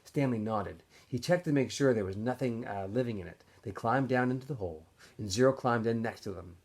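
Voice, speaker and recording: A man narrating a novel aloud in an expressive storytelling style, as if for students. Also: medium pitch, fairly quick, husky. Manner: animated storyteller. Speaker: teacher or school staff reading aloud to students.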